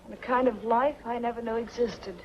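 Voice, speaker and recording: A woman's voice: on the high side, fairly even intonation, a little fast, lightly acted. An American actress playing a guarded, wistful young woman with a secret past in a 1940s film noir. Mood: wistful, confiding. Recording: muffled, noisy 1940s film soundtrack.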